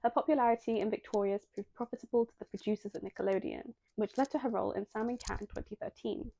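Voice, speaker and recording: A woman's voice: mid-range voice, fairly even intonation, quick pace, creaky, dark tone, no echo, rough recording. A young woman with a slightly nasal voice, reading a scripted video essay and drifting into apologetic, chatty asides. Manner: self-deprecating, breaks into apologetic tangents.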